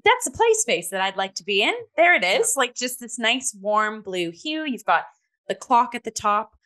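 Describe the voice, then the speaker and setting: A woman's voice: a little high, big pitch swings, moderate pace, pushed and bright. A woman chatting casually as a talk host reviewing theatre, acting out little asides as she goes.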